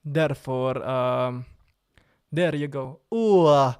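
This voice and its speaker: A man's voice: medium pitch, slow, darkish tone, clean tone. A man with an Italian accent, hosting a casual live stream from his computer, playful and joking and repeating himself. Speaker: livestream host.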